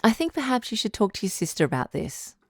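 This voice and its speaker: A woman playing a calm, firm relationship advice doctor hosting a radio call-in drama, measured and probing. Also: mid-range voice, breathy edge, dry recording, studio quality. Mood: firm, supportive.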